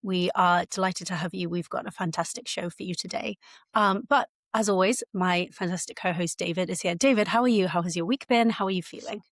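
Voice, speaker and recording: A woman co-hosting a tech podcast, talking in a friendly, upbeat and lively way. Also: on the low side, a little fast, no echo, studio quality. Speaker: podcast co-host. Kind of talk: casual podcast chat.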